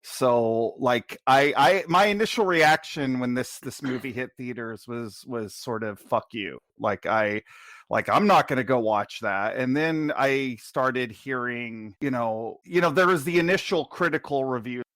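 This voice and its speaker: A man chatting on a film podcast in a relaxed, frank way, often restarting his sentences. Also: medium pitch, measured pace, no room sound. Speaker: podcast panelist. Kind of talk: casual film discussion.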